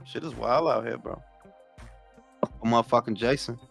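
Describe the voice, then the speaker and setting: A man's voice: medium pitch, normal speed, vocal fry, fairly bright tone, soft background music. A Dominican man hosting a casual boxing chat, speaking in profane, emphatic slang and repeating himself for emphasis. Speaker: boxing talk show host.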